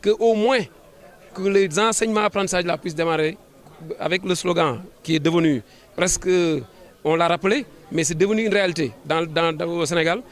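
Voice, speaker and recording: A man with a Senegalese accent answering an interview as a government official, in formal administrative phrasing, often halting and repeating words. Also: sits high. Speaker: government official, sub-prefect.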